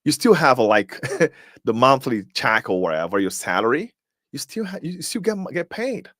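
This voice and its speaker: A man's voice: medium pitch, sing-song, normal speed, fairly bright tone, no echo, clean studio sound. A man whose first language is Portuguese gives a live-streamed motivational talk as host. He is emphatic, fires off rhetorical questions, and repeats words as he searches for them.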